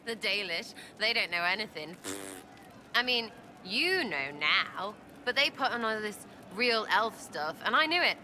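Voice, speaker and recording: A woman's voice: mid-range voice, big pitch swings, unhurried, bright, forceful tone, slight background noise, full character voice. A woman playing a brash, mocking young elf rogue in a video game, cheeky, blunt and slangy. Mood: blunt, amused. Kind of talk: dramatic video game acting.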